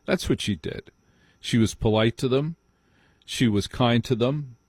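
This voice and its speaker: A man's voice: medium pitch, measured pace, a little mellow, slightly rough, no room sound, clean studio sound. An American man hosting a live call-in radio show, speaking emphatically and repeating phrases for effect. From New Jersey, United States. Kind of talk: live talk radio conversation.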